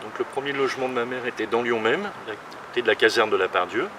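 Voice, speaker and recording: A man in his sixties, a longtime resident, speaking haltingly with many fillers. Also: mid-range voice, recorded from a distance, noisy background. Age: 60s. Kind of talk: recorded testimony.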